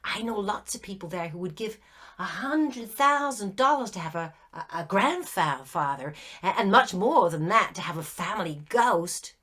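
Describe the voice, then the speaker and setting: A woman's voice: mid-range voice, lively intonation, moderate pace, fairly bright tone, husky. A woman playing a prim, scolding young girl. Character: earnest, indignant young girl.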